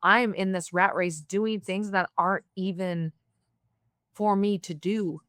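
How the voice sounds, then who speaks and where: A woman from the United States hosting an interview, talking in a candid, reflective way with quick bursts. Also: mid-range voice, fairly even intonation, fairly bright tone, no echo, studio quality. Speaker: podcast host. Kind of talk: conversational interview.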